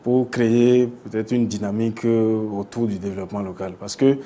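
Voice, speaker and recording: Male expert guest, Beninese French accent, dark soft-edged tone, halting explanatory delivery, audible noise. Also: lowish voice, steady tone. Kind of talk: explanatory interview answers. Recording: clear background noise.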